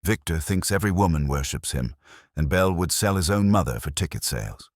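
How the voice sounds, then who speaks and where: A man's voice: sits low, animated, a little fast, dry recording, studio quality. A man voicing an ambitious, defensive actress who speaks frankly and emphatically in a detective audiobook.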